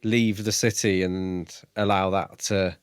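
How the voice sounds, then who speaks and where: A man's voice: sits low, flat delivery, slow, clear, resonant tone, no echo, clean studio sound. A man co-hosting a podcast, talking casually and musing his way tentatively through ideas. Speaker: book podcast co-host. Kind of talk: casual discussion.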